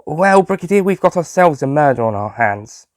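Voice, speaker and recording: Man doing a halting, higher-pitched police detective. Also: sing-song, dry recording, clean studio sound. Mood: uneasy. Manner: halting, uneasy. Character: hesitant male police detective inspector reporting by phone.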